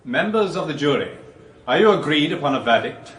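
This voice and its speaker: An actor playing a formal, commanding English court clerk, declaiming ceremoniously in a radio drama. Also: male voice, fairly high pitch, very animated, fairly quick, fairly bright tone. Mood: solemn. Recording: echoey courtroom sound with some noise.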